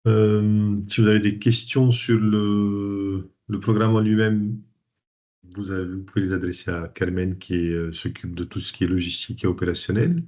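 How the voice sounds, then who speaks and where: A man's voice: fairly low pitch, steady tone, moderate pace, darkish tone. A man from Lebanon hosting a coaching webinar, talking informally with frequent fillers and restarts. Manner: hesitant, many fillers and restarts. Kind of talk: informal presentation to an online group. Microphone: video call. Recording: dull lo-fi video call audio.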